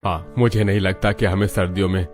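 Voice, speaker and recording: Man acting a deep-voiced, resigned father-in-law. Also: fairly even intonation, a little fast, soft background music, natural voice. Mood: grumbling. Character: older father-in-law, grumbling but resigned. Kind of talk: acted dialogue in a moral story.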